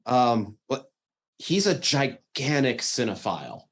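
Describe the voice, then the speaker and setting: A man's voice: medium pitch, big pitch swings, slow pace, husky. A man hosting a podcast, making recommendations in a casual, emphatic way with enthusiastic swearing. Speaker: podcast host. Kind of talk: casual chat, giving recommendations.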